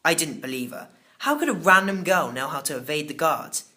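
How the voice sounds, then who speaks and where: A man narrating a children's novel in the first person, expressive and theatrical. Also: fairly high pitch, fairly quick. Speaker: audiobook narrator.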